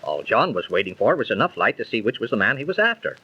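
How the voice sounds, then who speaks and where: An actor playing a confident, needling skeptic in a 1930s radio drama, insistent and persuasive. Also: male voice, fairly low pitch, sing-song, fast, slightly rough. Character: confident, needling skeptic chatting with his pal. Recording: muffled old 1930s radio recording.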